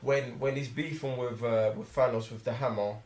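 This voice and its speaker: A man with a London British accent chatting about films in an excitable, emphatic, slangy way, repeating his phrases. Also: medium pitch, normal speed. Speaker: film review panellist. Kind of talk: casual film chat. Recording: echoey room sound.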